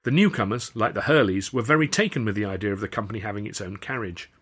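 A man's voice: fairly low pitch, quick pace, fairly bright tone, slightly rough. A man reading a novel aloud as an author and narrator, in scripted audiobook style.

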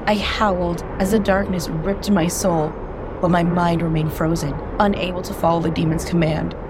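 A woman's voice: on the low side, little pitch movement, normal speed, background noise. A woman narrating a first-person horror story in a tense, intimate way.

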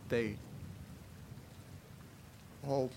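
An actor playing an anxious young man alone in a creepy house, talking haltingly to himself in a horror audio drama. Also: noisy background. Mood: wary, puzzled. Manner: halting, muttering to himself, swearing. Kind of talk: horror audio drama, first-person monologue.

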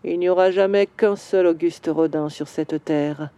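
A woman voicing a grieving male bronze statue in a children's audio story, slow and wistful. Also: on the low side, steady tone, warm tone, clean tone, no room sound, natural voice. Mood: sorrowful.

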